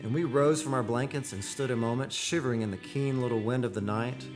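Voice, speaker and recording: A man playing a wistful, dreamy photographer narrating an eerie seaside tale in a measured, poetic radio drama style. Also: medium pitch, over music, natural voice. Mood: hushed, eerie. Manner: measured, poetic, solemn delivery. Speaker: actor, narrator.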